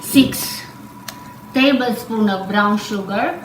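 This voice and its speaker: A woman's voice: medium pitch, slow. A middle-aged woman with a Romanian accent, demonstrating a family recipe at home, talking unhurriedly with fillers and small self-corrections. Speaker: cooking demonstrator. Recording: echoey kitchen with background noise.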